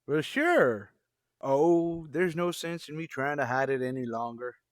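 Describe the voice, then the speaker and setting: An old custodian in a radio comedy, high-pitched and swooping through his lines. He is stubbornly smitten and talks in homespun, folksy phrases, recorded close and dry. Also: animated, unhurried, no echo. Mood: smitten, confessing. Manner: folksy drawling rustic turns of phrase.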